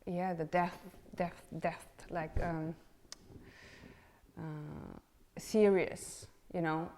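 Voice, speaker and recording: Hesitant woman, low slow voice. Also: fairly even intonation, creaky, mellow, a little hiss or hum. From Romania. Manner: hesitant, searching for words with many fillers. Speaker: interviewee, artist in residence. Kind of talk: casual interview answers.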